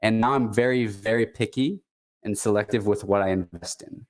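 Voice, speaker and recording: A man's voice: on the low side, steady tone. A man from Quebec with a Quebecois French accent, a native French speaker chatting casually as a guest, stopping and restarting often. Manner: halting, many restarts and fillers. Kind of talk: casual chat.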